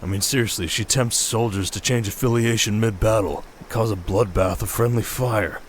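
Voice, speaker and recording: A man's voice: lowish voice, normal speed, a little hiss or hum, close to an everyday voice. Griping male oni aide, acted by a man. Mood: eager, scheming. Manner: casual, colloquial, griping. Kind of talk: fantasy ASMR audio roleplay.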